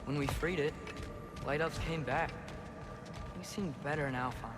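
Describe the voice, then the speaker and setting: Young male actor as earnest teen hero for fantasy game: lightish pitch, casual, inquisitive, score underneath. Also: normal speed, natural voice. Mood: matter-of-fact. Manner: casual, modern phrasing. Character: curious, earnest teenage boy adventurer. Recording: music under the voice.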